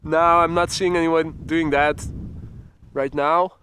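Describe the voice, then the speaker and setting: A man with a Dutch accent hosting a live plane-spotting stream, calmly explaining runway changes and reading out weather reports. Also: on the high side, slow. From Netherlands. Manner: calm, explanatory, chatty, reads out weather reports. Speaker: plane-spotting livestream host. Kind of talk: live commentary. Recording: loud wind buffeting the mic.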